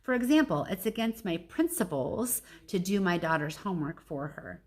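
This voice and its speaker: A woman reads as a patient father in a children's storybook. He explains things plainly and teases gently, in a natural, lowish voice. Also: lively intonation, moderate pace. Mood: teasing.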